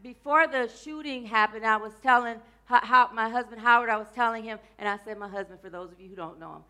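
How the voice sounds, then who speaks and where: A woman minister giving a loose, chatty sermon to her congregation. Her voice is scratchy today and she keeps clearing her throat, and she tends to wander off topic and joke along the way. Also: a little high, fast, sharp tone. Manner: rambling, playful, drifts off topic mid-sentence. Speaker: minister of a church.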